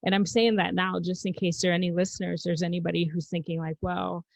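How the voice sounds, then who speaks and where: Woman, from Zambia, gravelly, dull dry sound, reflective co-host. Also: fairly low pitch, little pitch movement, quick pace, no room sound. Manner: reflective, encouraging. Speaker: podcast co-host. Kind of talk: casual podcast conversation.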